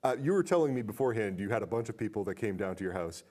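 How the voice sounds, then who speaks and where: A man with a fairly low voice hosts a casual movie podcast. He talks in a conversational way and puts animated emphasis into anything he reads out. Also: racing. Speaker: podcast host.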